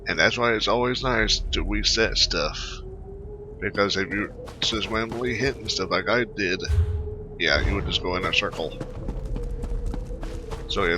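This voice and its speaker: Real low voiced guy doing casual gameplay commentary, rambly n halting, game music behind. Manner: halting, offhand, repeats himself. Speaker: gamer commentating on a playthrough.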